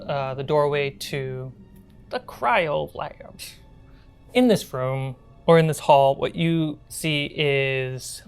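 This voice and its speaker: A woman running a tabletop roleplaying game, improvising scene descriptions as game master. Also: sits low.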